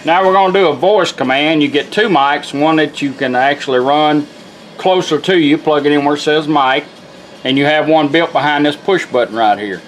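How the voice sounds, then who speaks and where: Higher-voiced man giving a folksy demo. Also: moderate pace, over music. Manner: folksy, informal step-by-step explaining. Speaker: product demonstrator. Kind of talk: casual hands-on product demonstration. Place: indoors at a workbench.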